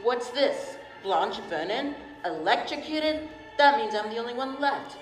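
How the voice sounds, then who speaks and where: A woman playing a formal, fussy male family lawyer in a radio drama, speaking in a measured, proper way. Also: fairly high pitch, lively intonation. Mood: smooth, proper. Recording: echoey hall, faint music behind.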